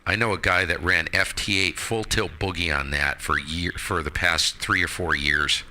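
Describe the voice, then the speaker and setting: Casual ham radio streamer: man, low forceful voice, emphatic and slangy. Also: steady tone, moderate pace, slight background noise. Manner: emphatic, colorful slangy talk. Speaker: amateur radio operator and streamer.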